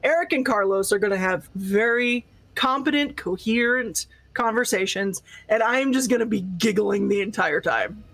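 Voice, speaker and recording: A woman's voice: mid-range voice, moderate pace, gravelly, few pauses, a bit bright. A woman co-hosting a tabletop gaming stream, chatting casually in a goofy, giggly, sweary way. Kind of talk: casual group chat.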